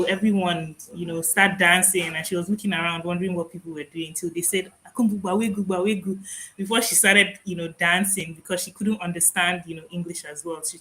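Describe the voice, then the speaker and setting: A woman's voice: fairly low pitch, a bit bright, low-quality recording. A woman hosting a podcast interview, from Nigeria with Igbo as her first language, talking reflectively and laughing often. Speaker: podcast host. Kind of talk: conversational interview.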